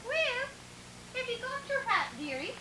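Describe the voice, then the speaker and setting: A woman acting a very high-voiced, prim wife. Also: very animated, moderate pace. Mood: polite, gracious. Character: prim, dearie-calling wife, sweetly polite, privately critical. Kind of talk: old-time radio comedy acting on stage. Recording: roomy, noisy stage recording.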